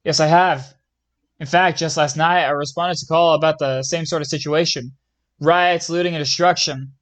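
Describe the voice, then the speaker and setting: A man acts out a police lieutenant being interviewed in an audio drama. His voice is fairly high for a man. He answers in a plain, matter-of-fact manner. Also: steady tone, normal speed, a bit bright, natural voice.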